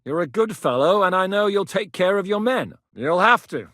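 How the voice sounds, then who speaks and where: Commanding medieval captain, higher-pitched voice. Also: male voice, lively intonation, moderate pace, pushed and bright, no echo, studio quality. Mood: steady, commanding. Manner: authoritative, decisive, plain-spoken. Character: commanding medieval rebel captain, shrewd and resolute. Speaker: military leader planning operations. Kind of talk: video game dialogue acting.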